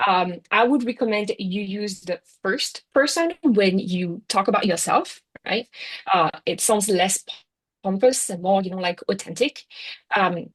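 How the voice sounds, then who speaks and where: A woman's voice: mid-range voice, measured pace, poor audio. A middle-aged woman with a French accent gives an online workshop talk in a chatty way, filling her sentences with "you know, like" and "right?". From France. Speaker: workshop speaker. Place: Singapore.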